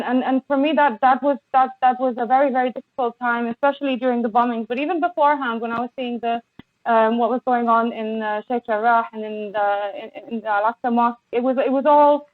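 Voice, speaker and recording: A woman guest on a podcast, speaking with passion and many halting restarts over a remote call. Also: sits high, dry recording. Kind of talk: impassioned conversation. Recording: tinny phone-like line, dry.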